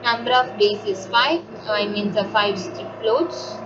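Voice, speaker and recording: A woman with an Indian accent giving an unscripted step-by-step software tutorial, instructing and answering her own questions as she goes. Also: mid-range voice, steady tone, unhurried. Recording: dull lo-fi audio, loud background noise.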